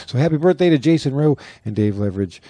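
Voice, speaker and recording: A man's voice: mid-range voice, expressive, quick pace, mellow, dry recording. A middle-aged man co-hosting a podcast, chatting in a loose, rambling, joking way. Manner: rambling, jokey, trails off. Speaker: podcast co-host. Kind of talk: casual chat.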